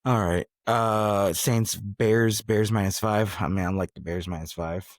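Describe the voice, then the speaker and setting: A man's voice: on the low side, fairly even intonation, a little slow, no echo, clean studio sound. A man hosting a podcast, chatting casually about sports in a slangy, bro-ish way. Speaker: podcast host.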